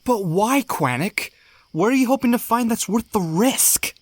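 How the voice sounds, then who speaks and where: Performer acting as young wild animal, fandub drama, anxious, cautious, breathy, highly animated intonation. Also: unhurried, no room sound. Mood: doubtful, worried. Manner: hesitant, pleading, worried.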